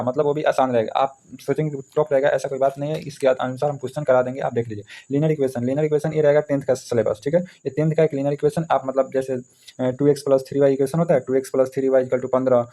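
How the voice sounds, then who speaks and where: A man's voice: medium pitch, mellow. A man giving an informal exam lesson as a maths teacher, explaining repetitively with frequent check-in tags.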